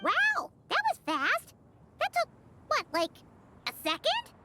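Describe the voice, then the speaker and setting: A woman voicing a tiny, bubbly, childlike fairy companion in a video game, squeaky and excitable. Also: takes it slowly, roomy sound, noisy background, full character voice. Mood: amazed.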